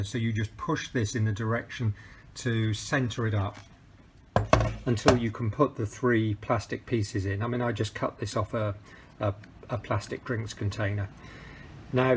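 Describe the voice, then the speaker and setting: A man's voice: mid-range voice, slight background noise. A middle-aged man, walking through a hands-on speaker repair step by step in a practical, methodical way, with frequent ums and uhs. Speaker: DIY repair tutor.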